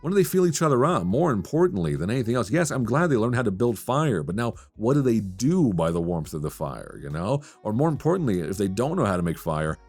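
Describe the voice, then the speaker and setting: Man, low voice, podcast host, chatty solo commentary, emphatic delivery, faint background music. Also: big pitch swings, a little fast, few pauses, darkish tone.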